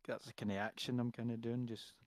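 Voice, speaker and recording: A man's voice: mid-range voice, level tone, fast talker, a little mellow, no room sound, studio quality. A man casually describing what his character does in a tabletop game.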